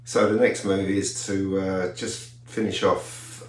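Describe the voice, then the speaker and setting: An older man talking casually and haltingly in a low-key, unhurried way, like a hobbyist vlogging at home. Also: level tone. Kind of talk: casual hobby vlog commentary. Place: small home radio room, indoors. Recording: roomy sound with faint hum.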